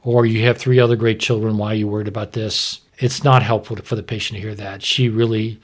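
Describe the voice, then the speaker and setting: A middle-aged American man, a doctor, explaining medical matters informally in a frank, measured way. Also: lowish voice, little pitch movement. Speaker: maternal fetal medicine doctor, podcast expert.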